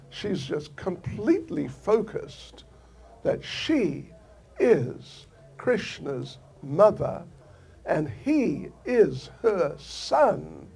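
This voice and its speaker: An older man from New Zealand gives a devotional lecture at a very unhurried pace. His voice is mellow and dark, with a husky, gravelly edge, and he tells the story gently, coming back to the same phrases again and again. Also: high-pitched. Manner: slow, gentle storytelling with soft laughs and repeated phrases. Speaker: swami giving a scripture lecture.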